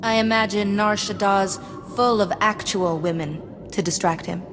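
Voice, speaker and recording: A woman's voice: mid-range voice, measured pace, natural voice. A woman playing a cool, assertive young Jedi in a video game, with a dry, sardonic manner. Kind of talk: cinematic video game dialogue. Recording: hall reverb, faint music behind.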